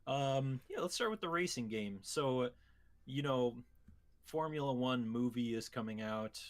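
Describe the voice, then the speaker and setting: A man's voice: medium pitch, expressive, a little slow. A man co-hosting a gaming show, talking casually about video games.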